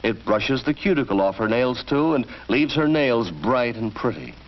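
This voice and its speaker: A man's voice: medium pitch, lively intonation, normal speed. An American man narrating a 1940s educational film from a script, in an instructive, storytelling manner. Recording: dull vintage film soundtrack, faint noise.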